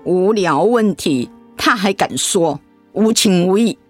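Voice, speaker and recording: Pouty Taiwanese wife, swooping voice. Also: female voice, mid-range voice, animated, a little mellow, faint music behind. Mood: sulky, indignant. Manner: whiny, emotive, with drawn-out sentence particles. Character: sick wife and mother, pouty, insistent, easily hurt. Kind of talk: radio drama acting.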